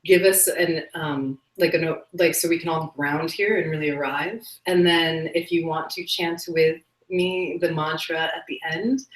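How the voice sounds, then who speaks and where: A woman hosting a live spiritual broadcast, soft-spoken and dreamy, with many fillers. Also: fairly low pitch. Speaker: host. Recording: echoey room.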